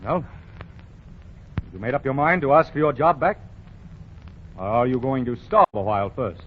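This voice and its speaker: Vintage radio comedy, muffled sound: indignant store boss, husky, pompous, emphatic. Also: male voice, mid-range voice, animated, moderate pace. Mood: stern lecturing. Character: indignant, self-righteous male department store boss. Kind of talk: 1940s radio comedy acting. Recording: dull lo-fi 1940 radio recording.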